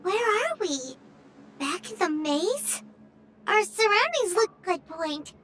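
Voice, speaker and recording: Squeaky, excitable little fairy companion. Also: female voice, slow pace, slight background noise, full character voice. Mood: puzzled. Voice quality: squeaky, childlike. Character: tiny, cheeky, excitable childlike fairy companion. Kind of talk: anime-style video game voice acting.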